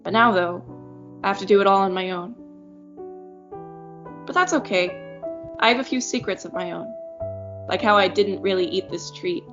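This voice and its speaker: Lonely, obedient schoolgirl, voiced by an actress, soft and wistful over piano; slightly lo-fi. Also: mid-range voice, natural voice. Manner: soft, wistful, a little fearful. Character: lonely young schoolgirl left behind, obedient. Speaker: narrator of the story. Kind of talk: first-person audio drama narration. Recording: muffled audio with piano score.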